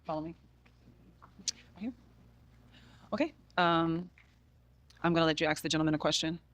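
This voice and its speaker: A woman hosting a dating game show, asking contestants questions briskly. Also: low voice, a bit bright. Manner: brisk, asks questions in turn.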